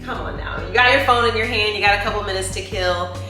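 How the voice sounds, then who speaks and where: Female co-host, middle-aged, casual and expressive, bright voice, faint music behind. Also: medium pitch, fast talker. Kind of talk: casual chat show talk. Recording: very echoey room, faint music.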